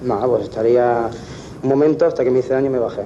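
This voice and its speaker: A man's voice: mid-range voice, fast talker, mellow. A young man testifying as a defendant in court, curt and defiant. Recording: dull, noisy archive courtroom audio.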